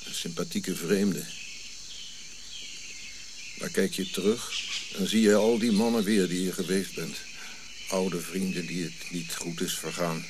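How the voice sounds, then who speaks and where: An old prince in exile, dignified and weary. His low voice moves in measured, solemn phrases, as in a radio play. Clear nature noise of crickets and birds sits behind him. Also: fairly even intonation. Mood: resigned, reflective. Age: older.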